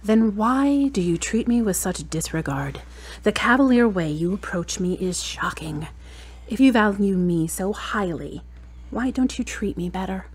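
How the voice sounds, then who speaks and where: A woman's voice: mid-range voice, lively intonation, unhurried, breathy edge. A woman playing a frank, exacting girlfriend in an audio drama, wry and challenging, with pointed questions. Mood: exasperated, frank.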